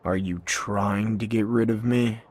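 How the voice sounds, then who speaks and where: A man's voice: fairly low pitch, steady tone, measured pace. A man playing a laconic, defiant warrior hero in a video game cutscene, with a blunt, casual, understated delivery. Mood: dry. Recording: loud music behind the voice.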